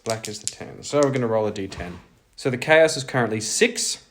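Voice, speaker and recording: A man's voice: on the low side, animated, a little fast, a bit bright, a little hiss or hum. A man hosting a solo role-playing audio drama, moving between scripted story narration and casual play-by-play of the game. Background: music.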